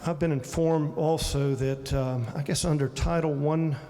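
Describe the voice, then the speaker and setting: A man legislator giving a measured, explanatory floor speech with frequent fillers. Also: a little high, steady tone, mellow, slight background noise. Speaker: state delegate. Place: legislative chamber. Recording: long hall reverb, faint ambience.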